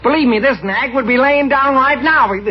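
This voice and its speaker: Skeptical horse trainer, lively high voice, dull old radio recording. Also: male voice, moderate pace, slight background noise. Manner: matter-of-fact, doubtful. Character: practical middle-aged male horse trainer, skeptical. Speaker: actor in a radio comedy. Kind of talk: 1950s radio comedy acting.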